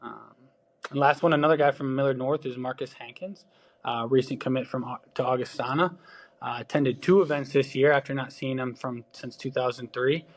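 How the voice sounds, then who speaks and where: Man, Wisconsin accent, baseball scout, casual podcast chat, lots of ums and restarts, middle pitch. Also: fairly even intonation, fairly quick. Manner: loose, filler-heavy talk with frequent restarts.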